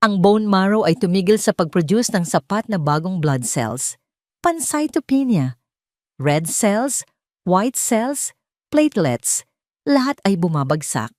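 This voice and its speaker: A woman's voice: medium pitch, sing-song, unhurried, no echo, clean studio sound. A woman with a Filipino accent narrating a scripted dramatic story.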